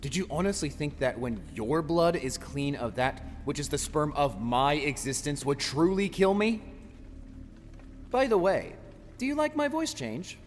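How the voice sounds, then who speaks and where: A man's voice: fairly high pitch, expressive, unhurried. A man playing a taunting, sinister voice inside a tormented man's mind in an audio drama, mocking and theatrical. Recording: long reverb, faint background noise.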